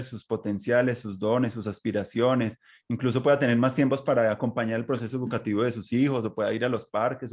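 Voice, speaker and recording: Man, mid-range pitch, podcast host, conversational, reflective, discursive, winding sentences. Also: darkish tone. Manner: discursive, long winding sentences, repeats words.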